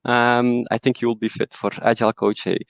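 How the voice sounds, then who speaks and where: A man with a West Flemish accent, his first language Dutch, talks about his work in a relaxed, self-deprecating way. His voice has a gravelly, creaky edge. The sound is dry and close, as on a video call. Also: medium pitch, brisk, dry recording. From Belgium. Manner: relaxed, self-deprecating storytelling with many restarts. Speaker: guest, agile coach. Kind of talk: casual interview talk.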